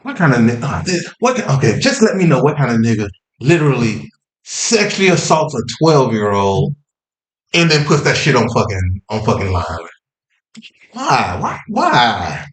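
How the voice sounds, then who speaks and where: A man's voice: mid-range voice, wildly swooping pitch, moderate pace, reverberant. A man from Wales in casual podcast chat, blunt and profane. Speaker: podcast co-host.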